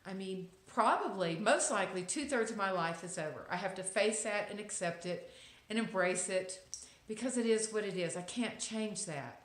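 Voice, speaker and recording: A woman's voice: medium pitch, normal speed. A woman in her fifties with a Texas accent, giving a casual, reflective inspirational talk to camera with wry asides and frequent ums. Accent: Texan. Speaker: vlogger. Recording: echoey room.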